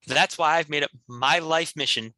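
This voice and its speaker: A man's voice: fairly high pitch, normal speed, sharp tone, dry recording. A man improvising parody radio commercials like a comedy performer, with a salesman-like announcer delivery.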